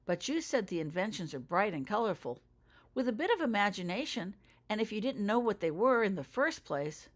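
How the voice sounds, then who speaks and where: A woman's voice: medium pitch, very animated, a little fast, voice pushed up. A woman voicing an earnest, probing schoolgirl witch in a storybook reading, with careful, hesitant questions.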